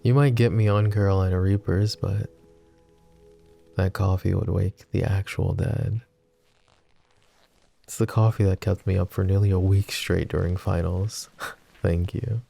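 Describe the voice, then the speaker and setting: Man as a very deep, flirty mafia boss. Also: steady tone, measured pace, long pauses, soft background music, heavily acted. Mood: playful teasing. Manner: soft teasing banter with breathy chuckles. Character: flirty, possessive male mafia boss, smitten and vulnerable. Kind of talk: intimate ASMR roleplay acting.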